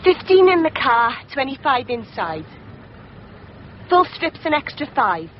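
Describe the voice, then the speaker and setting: A woman's voice: high-pitched, big pitch swings, unhurried, lo-fi sound, a little hiss or hum. A woman playing a brash, blunt young prostitute soliciting drivers in a radio drama. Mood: brisk, soliciting.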